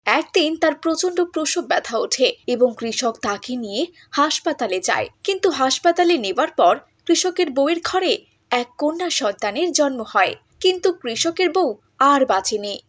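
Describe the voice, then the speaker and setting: High-pitched woman storyteller. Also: expressive, moderate pace. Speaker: narrator. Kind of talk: scripted story narration.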